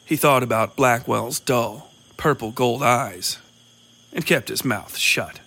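Bright-voiced man narrating dark fiction. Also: mid-range voice, slow. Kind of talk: scripted dark western story narration.

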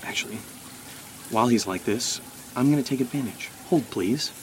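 An actor as an anxious man in an audio drama, hesitant and suspicious, with a sarcastic edge. His pitch sits in the middle, and faint noise runs under the voice. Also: moderate pace, lightly acted. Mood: suspicious, wry. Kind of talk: audio drama acting, naturalistic.

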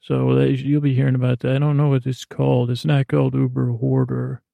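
A man hosting a sleep podcast in a creaky, lulling voice, meandering through a bedtime monologue with long pauses and halting trail-offs. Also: medium pitch, flat delivery, no room sound, narrow, tinny audio. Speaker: podcast host. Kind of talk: rambling bedtime monologue meant to lull listeners to sleep.

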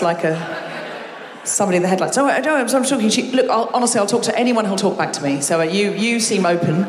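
A woman hosting a live comedy show on stage, teasing and chatting quickly with the audience. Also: medium pitch, slight background noise. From Australia. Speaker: comedy podcast host. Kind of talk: live stand-up crowd work. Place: large concert hall with live audience. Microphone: stage microphone. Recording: roomy hall with long reverb.

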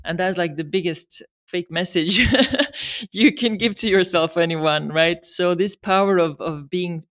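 A woman interviewer asking questions in an expressive way, sometimes pausing to find words. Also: fairly low pitch. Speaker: interviewer, host.

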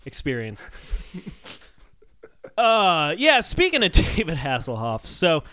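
A man with a high, bright voice in casual comic podcast banter. He swings around animatedly as he teases and mock-scolds, and the sound is dry and close. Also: huge pitch swings, takes it slowly, no room sound. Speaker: podcast co-host.

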